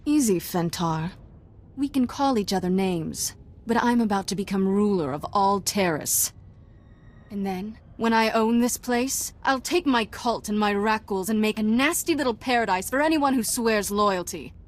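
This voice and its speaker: A woman's voice: medium pitch, moderate pace, lightly acted. Cocky, menacing young cult leader played by a woman. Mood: cocky, taunting. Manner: taunting, boastful. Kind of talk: video game voice acting.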